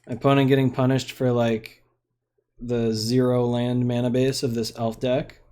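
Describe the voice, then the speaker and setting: A man's voice: medium pitch, level tone, normal speed, mellow, clean tone. Laid-back man commenting on his card game. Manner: laid-back, rambling, lots of fillers. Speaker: streamer playing a card game. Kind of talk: casual gameplay commentary.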